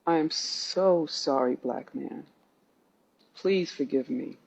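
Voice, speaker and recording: A tearful, choked-up woman apologizing in slow, broken phrases. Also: low-pitched, vocal fry, darkish tone, breathy edge, poor audio.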